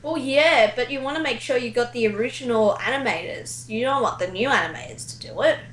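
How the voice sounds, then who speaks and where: A woman's voice: fairly high pitch, expressive, fairly quick, a bit bright, low-quality recording. A woman chatting casually about video games as a guest on a talk show. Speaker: guest, amateur voice actor.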